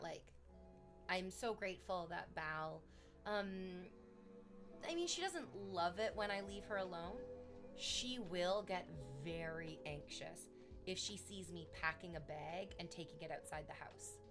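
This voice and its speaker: A woman's voice: fairly low pitch, animated, a little slow, music in the background. A woman chatting casually on a livestream, rambling to her viewers and cooing at her dog in baby talk.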